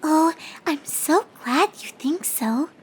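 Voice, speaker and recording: A timid, gentle female cartoon pegasus pony. The voice is high and soft, with slow, hesitant delivery. Noticeable noise sits behind it. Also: expressive, poor audio. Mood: relieved, bashful. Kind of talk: character voice in fan fiction reading.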